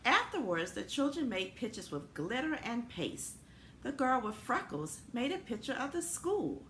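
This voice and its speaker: A woman reading a children's story aloud expressively, in the manner of a school principal addressing young students. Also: on the high side. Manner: animated, unhurried storytelling. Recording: echoey room with noticeable background noise.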